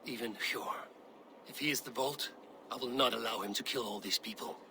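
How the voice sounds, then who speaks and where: An actor playing a stern, commanding Viking warrior in a video game, speaking in measured, decisive, terse phrases. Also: male voice, mid-range voice, creaky, background noise, lightly acted. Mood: focused, grave. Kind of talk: cinematic video game acting.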